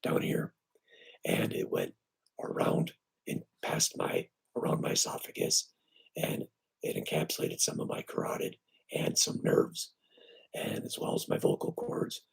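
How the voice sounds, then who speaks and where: A man with weak, breathy and raspy vocal cords, hoarse and short of breath, hosting a casual live stream from home on his computer and talking haltingly with many fillers. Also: very deep voice, low-quality recording. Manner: halting, with many fillers and restarts, lively when greeting viewers. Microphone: computer microphone.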